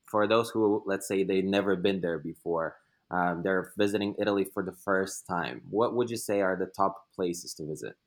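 A man's voice: low voice, moderate pace. A young man hosting a casual podcast interview, asking loose questions with fillers. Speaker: podcast host.

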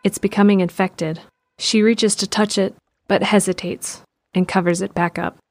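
A woman narrating an audio drama in a calm, measured way. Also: mid-range voice, no room sound.

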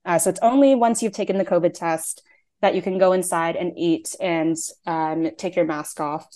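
A woman's voice: lowish voice, normal speed, dark tone. A young woman in her twenties from Canada, a playwright and actor, chatting through interview answers with frequent "um" and "like" fillers.

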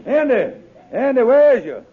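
A man's voice: very high voice, big pitch swings, moderate pace. A man playing a blustering, slippery con man in a 1950s radio sitcom, folksy in dialect and full of hemming salesman's patter. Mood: bluffing. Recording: narrow, noisy vintage radio recording with clicks.

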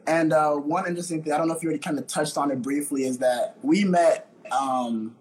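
A man's voice: on the high side, fairly quick, a little hiss or hum, low-quality recording. A young man casually interviewing a friend, laid-back and slangy.

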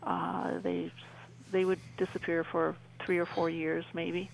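A middle-aged woman with a noticeably creaky, fry-laden voice explains things as a guest on a radio interview. Her intonation stays flat and narrow. She speaks earnestly and haltingly, circling back to repeat phrases for emphasis. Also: on the low side, slight background noise.

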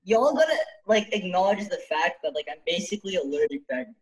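A man's voice: high-pitched, very animated, fast talker, crowd noise, poor audio. Rambling young man, filler-heavy talk. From Canada. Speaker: podcast co-host. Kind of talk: casual podcast chat.

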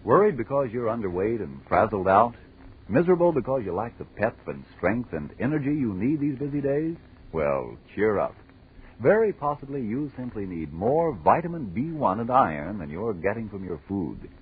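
A man's voice: fairly low pitch, big pitch swings, normal speed, slightly rough. An American man announcing in a dramatic, declamatory 1940s radio style. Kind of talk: 1940s radio horror show announcing and ad reading. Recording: tinny, band-limited 1940s radio recording.

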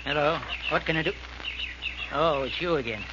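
An actor in 1940s radio style playing a stubborn old farmer with folksy rural speech and a guarded, unwelcoming temper. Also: male voice, a little high, normal speed, lightly acted. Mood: hostile, defiant. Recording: dull, noisy old radio recording.

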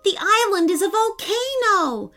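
A woman's voice: sits high, big pitch swings, measured pace, faint music behind, voice pushed up. A woman voicing an anxious, tender-hearted little mermaid boy in a children's story, wide-eyed and questioning. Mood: alarmed.

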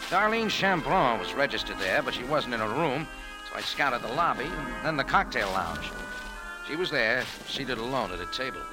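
A man's voice: medium pitch, big pitch swings, moderate pace, close to an everyday voice, low-quality recording. An American man acting a tough, wry bar owner turned amateur sleuth in clipped 1940s radio drama style. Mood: grim, wry. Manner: wry, clipped, hard-boiled. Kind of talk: 1940s radio drama acting, hard-boiled first-person narration. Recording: old 1940s radio recording with music.

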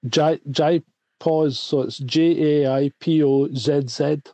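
A man's voice: on the high side, fairly even intonation, takes it slowly, a little mellow, no echo. A man co-hosting a casual live-stream podcast, with dry, wry humour and frequent laughs. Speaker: podcast co-host.

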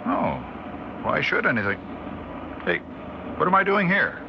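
A man's voice: a little high, huge pitch swings, noisy background, exaggerated voice. An actor playing an intense senior male scientist, commanding yet absent-minded, in 1960s radio drama style. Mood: dazed. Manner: clipped orders, halting musing. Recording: dull 1960s radio recording, loud music.

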